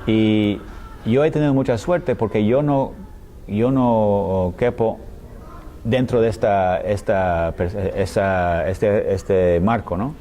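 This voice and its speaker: A man, a scholar answering interview questions in a language that is not his one, speaking hesitantly with many fillers, pauses and restarts and often ending phrases with a questioning tag. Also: fairly low pitch, dark tone, slight background noise. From United States. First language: English. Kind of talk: thoughtful conversational interview answers.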